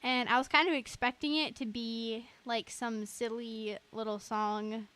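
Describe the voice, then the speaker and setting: A young woman with a fairly high voice hosts a casual game livestream. She talks in a chatty, gushing manner. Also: steady tone, unhurried, a bit bright.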